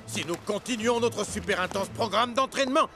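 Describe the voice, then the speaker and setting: An actor playing a boastful, militaristic football coach in a French-dubbed cartoon, with a pompous, commanding manner. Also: male voice, high-pitched, a little fast, gravelly, over music. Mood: stern, dismissive. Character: boastful militaristic male football coach, barking drill orders.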